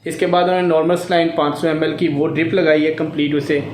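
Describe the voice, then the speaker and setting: Higher-voiced man vlogging in an echoey space. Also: flat delivery, quick pace, darkish tone. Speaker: family vlogger. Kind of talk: casual vlog talk to camera. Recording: echoey room sound, clear background noise.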